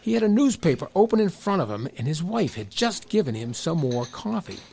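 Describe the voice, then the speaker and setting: A man narrating a scripted children's story as an expressive storyteller. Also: on the high side, a little fast, vocal fry, a little hiss or hum.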